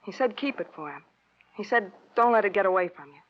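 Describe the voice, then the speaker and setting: A woman's voice: mid-range voice, lively intonation, brisk, lightly acted. Woman as subdued, world-weary young American dame. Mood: confiding. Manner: subdued, short fatalistic sentences. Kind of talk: 1950s radio crime drama acting. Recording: dull old radio recording.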